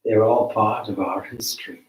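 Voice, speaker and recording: A man leading a guided meditation as a teacher, with measured, deliberate phrasing and long pauses. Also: lowish voice, steady tone. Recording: roomy, echoing space.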